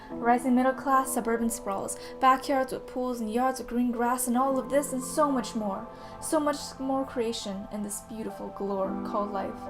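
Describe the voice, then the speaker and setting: Teen female slam poet, higher-pitched voice, emphatic rhythmic recitation, backed by music. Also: poor audio. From Canada. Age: late teens. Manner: earnest, emphatic, rhythmic delivery. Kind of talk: performed slam poetry recitation. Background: background music.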